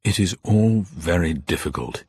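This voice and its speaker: Dazed awkward detective guy, acted by narrator, deep husky voice, radio mystery. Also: animated, a little slow, a little mellow, no room sound, studio quality, exaggerated voice. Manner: awkward, hesitant.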